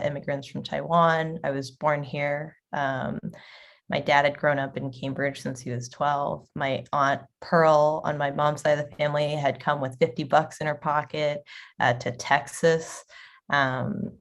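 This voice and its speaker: Low-voiced lady w a gravelly edge, rambling w lots of ums. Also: little pitch movement, measured pace. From Boston area, USA. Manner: rambling, many fillers and restarts. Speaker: restaurant owner, guest. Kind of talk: casual interview conversation.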